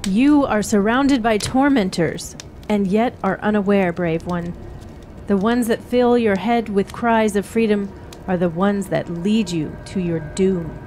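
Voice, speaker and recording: A woman's voice: medium pitch, dark tone, natural voice. Woman acting a cryptic wise witch, noisy background. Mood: ominous, resigned. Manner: measured, riddling, archaic storyteller phrasing. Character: cryptic, sorrowful wise woman, a witch who counsels youths. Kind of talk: fantasy radio drama acting.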